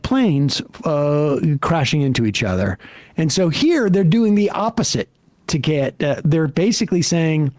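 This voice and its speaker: An American man in his late fifties or older giving casual political commentary as a show host, halting and wry. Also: a little high, a little mellow, no room sound. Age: late 50s or older.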